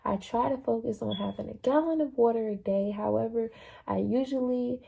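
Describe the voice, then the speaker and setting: A young adult woman talking casually about her experience in a personal vlog, relaxed and chatty with plenty of fillers. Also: on the high side, normal speed, gravelly, breathy edge. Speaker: vlogger sharing a fasting journey.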